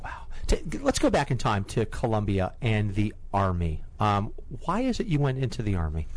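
Animated male interviewer, halting question starts. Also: on the low side. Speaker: interviewer, host.

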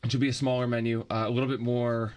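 A man who is a chef, describing his menu in a relaxed, easygoing radio interview. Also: medium pitch, quick pace. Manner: relaxed, easygoing, filler-heavy. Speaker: chef guest.

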